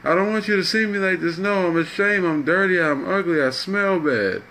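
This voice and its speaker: A man's voice: mid-range voice, animated, a little fast, slight background noise, natural voice. A man voicing a distraught, ashamed drug addict in a storytelling piece, agitated, complaining and self-pitying. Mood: ashamed pleading.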